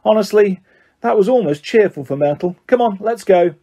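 A man voicing a sensible, confident schoolgirl with a brisk, reassuring manner in a storybook reading. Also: high-pitched, expressive, warm tone, muffled recording, pitched above the performer's own voice. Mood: wry.

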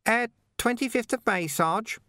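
A man voicing a nervous, eager young male watch corporal who talks in a high, anxious rush. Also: measured pace, pushed and bright, no room sound, studio quality, heavily acted. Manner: high, anxious, stammering over itself.

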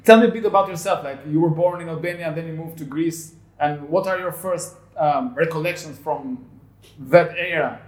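A man's voice: a little high, normal speed. A man hosting a podcast interview, asking loose, casual questions with lots of fillers. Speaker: podcast host. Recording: echoey room.

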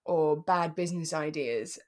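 A woman's voice: low-pitched, measured pace, darkish tone. A British woman chatting casually and enthusiastically as a podcast co-host.

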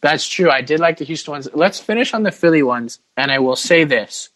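A man's voice: on the high side, animated, a little fast, a bit bright. Man co-hosting a casual sports podcast. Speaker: podcast host.

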